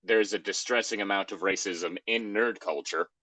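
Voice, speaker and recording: A man's voice: lowish voice, moderate pace, bright, forceful tone, dry recording. A man co-hosting a show in casual chat, rambling wryly as he reacts to a story. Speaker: co-host of a fan fiction reading show.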